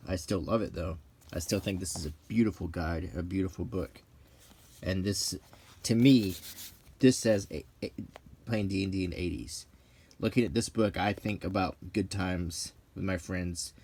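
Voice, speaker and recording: A man's voice: sits low, a little mellow, a little hiss or hum. A middle-aged man talking casually and hesitantly in an unscripted, ASMR-like way, musing as he reviews something. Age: 40s to 50s. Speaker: host of a hobby video.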